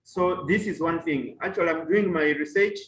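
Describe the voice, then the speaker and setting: High-voiced man, echoey room. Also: a bit bright, slight background noise. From Mozambique. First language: Chewa. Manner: measured and halting, with many fillers and restarts. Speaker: professor and panelist. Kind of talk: online academic panel talk. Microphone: video call.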